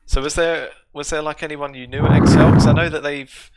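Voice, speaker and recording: A man's voice: on the high side, level tone, brisk, very noisy. A man hosting a podcast as a casual interview, asking questions in a conversational way. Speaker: podcast host.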